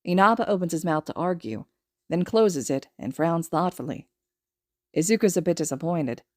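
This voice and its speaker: A woman's voice: on the low side, expressive, moderate pace, dry recording, clean studio sound. A woman reading a story aloud as scripted audiobook narration. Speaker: podfic narrator.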